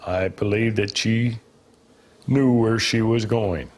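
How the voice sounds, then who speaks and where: Slow, firm-spoken man. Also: on the low side, steady tone.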